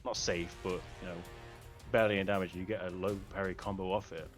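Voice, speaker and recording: A man's voice: low voice, steady tone, fast talker. A man commentating as a guest over voice chat, chatty and a little self-conscious, breaking down the play. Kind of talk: casual esports commentary. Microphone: online voice chat. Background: game music.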